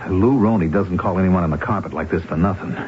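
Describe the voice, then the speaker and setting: An actor playing a wheedling American husband, a gambler in debt, pleading like a hustler in a 1970s radio drama. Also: male voice, low-pitched, close to an everyday voice. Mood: desperate, pleading. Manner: pleading, fast-talking hustler. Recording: noisy, dull vintage radio broadcast.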